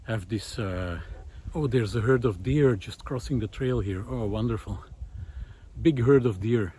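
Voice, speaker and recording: A man's voice: lowish voice, a little slow, warm tone, slight background noise. Musing man thinking aloud on the trail. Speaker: host of a recorded monologue series. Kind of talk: casual unscripted philosophical monologue. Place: outdoors on a woodland trail.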